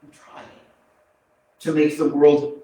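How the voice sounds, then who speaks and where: A man plays a remorseful male prisoner in a staged drama reading, confessing in terse, subdued phrases. The voice is fairly high and very slow. It comes from far off in a heavily echoing space. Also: monotone, warm tone. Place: arts center stage, indoors, recorded from afar. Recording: very echoey hall, distant mic, background noise.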